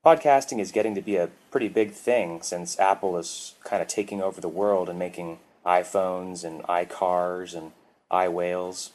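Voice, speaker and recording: A man's voice: deep voice, steady tone, moderate pace, a little mellow. A man hosting a podcast, introducing it with dry, offbeat humour. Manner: offbeat, dryly joking. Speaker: podcast host. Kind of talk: scripted podcast introduction and narration.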